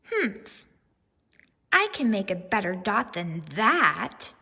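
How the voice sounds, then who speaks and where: A woman's voice: mid-range voice, sing-song, slow pace, gravelly, bright, forceful tone, husky, big-room sound, heavily acted. A woman voicing a stubborn young schoolgirl with a sulky, emphatic manner in a storybook read-aloud. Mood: determined. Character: discouraged, stubborn schoolgirl turned proud young artist.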